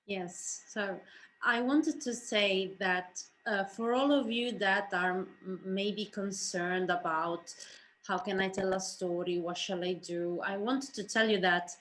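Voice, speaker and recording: A woman speaking earnestly and haltingly in a personal reflection for an online panel. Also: mid-range voice, fairly even intonation, fairly bright tone, a little hiss or hum, low-quality recording. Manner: earnest, halting, searching for words.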